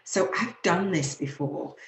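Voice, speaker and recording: A woman's voice: lowish voice, expressive, a little slow. A woman with a Leeds Yorkshire accent, hosting her own show and earnestly telling her personal story, laughing now and then through emotional moments. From England, UK. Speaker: show host. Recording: echoey room.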